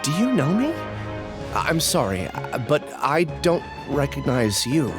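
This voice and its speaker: Timid, troubled youth, lively pitch. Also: male voice, slow pace, over music. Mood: nervous, apologetic. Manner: hesitant, earnest, stammering. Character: timid, troubled, apologetic young amnesiac. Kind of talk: video game voice acting.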